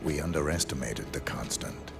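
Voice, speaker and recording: A man acting as a stoic assassin in a video game, terse, deadpan and restrained. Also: very deep voice, moderate pace, gravelly, over music. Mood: grave, guarded. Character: stoic, terse, deep-voiced male assassin. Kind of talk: cinematic game dialogue.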